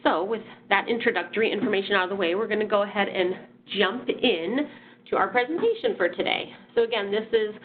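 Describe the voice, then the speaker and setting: A woman hosts a webinar and walks through a presentation. She sounds a bit nasal from a sinus infection and talks in a friendly way, with frequent ums and restarts. Her voice comes through a thin, phone-like line at a mid pitch. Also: brisk. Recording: phone-like audio.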